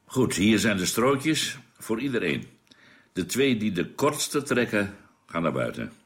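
A gruff, no-nonsense crewman in a space radio drama. His very deep voice moves widely in pitch, matter-of-fact and used to giving orders. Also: big pitch swings, measured pace, fairly bright tone. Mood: businesslike.

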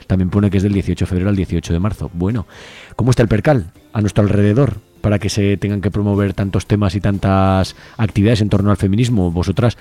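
A man with a slightly low, very mellow and dark voice acts as an interviewer. He speaks in an informal, conversational way, often checking in with short question tags. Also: steady tone, fast talker. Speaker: radio host interviewer.

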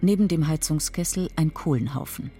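An actress playing a sober narrator in a radio play, reading stark scene descriptions. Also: female voice, low-pitched, normal speed, mellow, faint music behind, close to an everyday voice.

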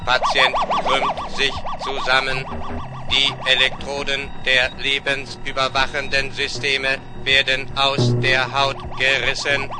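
A man voicing a robot medical monitor in a radio play, giving formal, clipped reports in a monotone. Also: medium pitch, slow, loud music behind the voice. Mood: clinical, alert. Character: male robot medical monitor, impassive, dutiful, clinical. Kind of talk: 1970s German sci-fi radio play acting. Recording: noisy 1970s vinyl recording.

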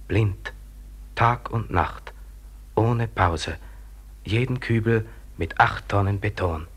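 An actor in a German radio drama playing an insistent companion who urges a trapped worker to endure, in short clipped phrases. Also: male voice, lowish voice, slow pace, breathy edge. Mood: coaxing, steady.

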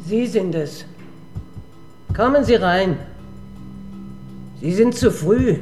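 A woman's voice: mid-range voice, expressive, slow, dark tone, faint music behind. An actress playing a guarded, curt woman in a German radio drama, speaking in short phrases. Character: guarded, curt woman in a wig, opening doors.

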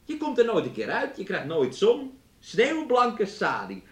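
A man's voice: high voice, huge pitch swings, a little slow, breathy edge, exaggerated voice. An actor in a Dutch radio drama playing a volatile, taunting working-class man who swings between scorn and coaxing. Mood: coaxing, teasing.

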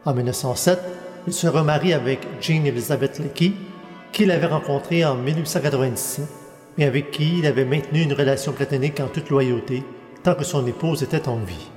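A man reading a scripted biographical narration in a steady, measured way, working through dates and names. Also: mid-range voice. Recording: echoey hall with faint music.

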